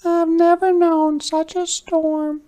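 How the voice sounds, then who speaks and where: A tiny storybook mother mouse. The voice is extremely high and squeaky, fussing like an anxious, grateful parent. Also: little pitch movement, slow pace, warm tone. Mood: distressed.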